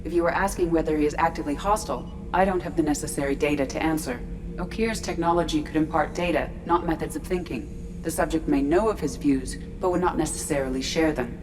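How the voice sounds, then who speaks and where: A woman voicing a calm ship artificial intelligence in a video game, precise, formal and detached. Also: deep voice, fast, background noise, lightly acted. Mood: clinical calm. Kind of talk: video game dramatic acting.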